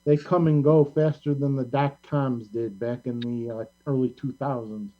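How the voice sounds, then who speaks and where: A middle-aged man hosting a casual panel discussion, talking in a rambling, halting way. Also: medium pitch, fairly even intonation. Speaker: talk show host. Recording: muffled lo-fi audio with mains hum.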